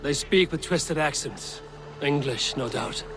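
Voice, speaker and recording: An actor playing a wary, dry-witted Viking warrior in a video game, terse and dry, over outdoor noise. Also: male voice, a little high, unhurried, close to an everyday voice. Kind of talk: cinematic video game acting. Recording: loud water and outdoor ambience.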